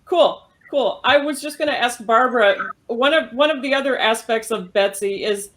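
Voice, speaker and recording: A woman's voice: on the high side, normal speed, a little hiss or hum, poor audio. A woman hosting a tribute, speaking casually in a live discussion. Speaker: tribute host.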